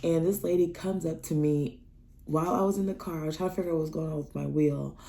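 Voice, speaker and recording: A woman's voice: fast talker, gravelly. A young woman from Nebraska telling personal stories in a casual vlog, acting out the dialogue with big jumps in her voice. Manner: animated, acts out dialogue with big pitch jumps. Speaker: vlogger.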